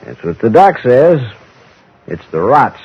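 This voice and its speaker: An actor playing a blunt, guarded Western sheriff with an American Western accent and folksy, terse speech in an old-time radio drama. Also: male voice, mid-range voice, big pitch swings, slow pace, breathy edge, heavily acted. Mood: matter-of-fact, guarded. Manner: terse, drawling rustic speech. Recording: dull, muffled old radio recording.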